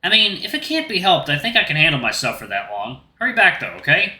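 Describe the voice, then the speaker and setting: A man's voice: on the high side, sing-song, fast talker, pushed and bright, voice pushed up, full character voice. A man voicing a wary but polite young male protagonist in a visual novel, earnest and slightly guarded. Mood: uneasy. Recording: roomy echo with music behind.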